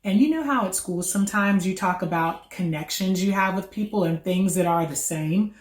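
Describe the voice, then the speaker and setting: Female children's host, lowish voice, encouraging question-and-answer teaching style, recorded in an echoey room. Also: normal speed. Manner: encouraging, repeats and confirms answers. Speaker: host of a children's read-aloud. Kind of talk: educational chat, quizzing a child.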